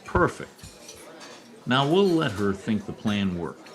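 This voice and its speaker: Animated, low-voiced wry reporter played by a man. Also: slow. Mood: conspiratorial. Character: wry, scheming newspaper reporter, grown man, playing smitten suitor. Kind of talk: old-time radio comedy acting.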